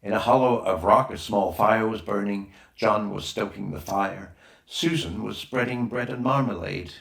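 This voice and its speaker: Male audiobook narrator, fairly low pitch, scripted children's story reading, noticeable room echo. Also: normal speed, gravelly, fairly bright tone. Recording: roomy, echoing voice recording.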